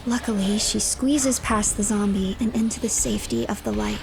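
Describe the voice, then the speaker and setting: A woman narrating a horror game as a dramatic third-person story, with breathy dips toward a whisper. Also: mid-range voice, sing-song, moderate pace, noisy background.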